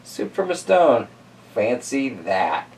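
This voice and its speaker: A man playing a hungry, sly young man who smooth-talks his way through a storybook. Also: mid-range voice, sing-song, takes it slowly, a little mellow, far from the mic, exaggerated voice. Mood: pleased. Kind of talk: storybook character reading.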